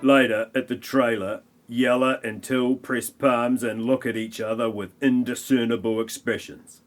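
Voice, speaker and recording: Wry man narrating, medium pitch. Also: little pitch movement, a little slow, faint music behind. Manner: wry, mocking asides. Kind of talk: comic narration of amateur audio play.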